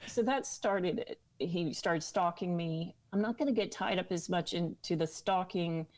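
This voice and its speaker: A woman interview guest recounting her own experience in a candid, emphatic way, with dramatic pauses on key words. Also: lowish voice, a little mellow, no room sound. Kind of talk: personal storytelling in an interview.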